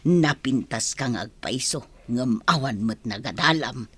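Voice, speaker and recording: A woman's voice: rumbling low, expressive, measured pace, fairly bright tone, husky, exaggerated voice. A woman acting a scornful, bossy older housemaid in an Ilocano radio drama.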